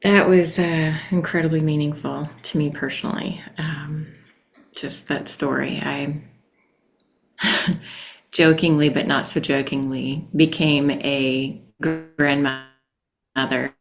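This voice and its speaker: A middle-aged woman hosting a reflective conversation, speaking hesitantly with fillers and soft laughs. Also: low voice, flat delivery, slow pace, slight background noise.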